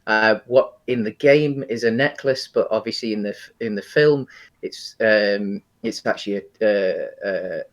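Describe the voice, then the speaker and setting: Low voiced guy on a podcast, chatting about movies, kinda hesitant, explains stuff as he goes. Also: a little slow. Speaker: podcast co-host. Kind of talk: casual film discussion.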